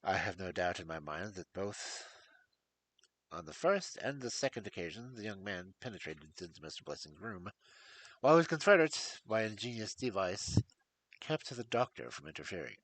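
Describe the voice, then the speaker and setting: A cool, incisive detective, as a male reader plays him, reasoning in a lowered, measured voice with clipped phrasing. The tone is husky and dry, right on the mic. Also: big pitch swings, fairly bright tone, dry recording, heavily acted, low-quality recording. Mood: analytical. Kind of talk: audiobook character voice.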